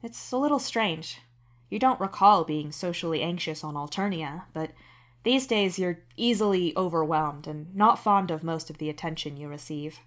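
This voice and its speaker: A woman with a slightly low voice narrates an audio story. Her reading is introspective and expressive, as if thinking the character's thoughts through. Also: moderate pace. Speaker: narrator and author reading own fan fiction.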